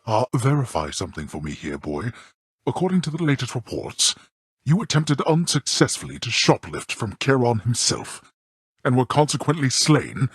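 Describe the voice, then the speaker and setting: A man playing a stern, scornful god-king of the underworld in a video game, speaking in a formal, haughty, measured way with a gravelly, husky voice. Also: medium pitch, sing-song, dry recording. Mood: scolding. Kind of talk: theatrical video game character dialogue.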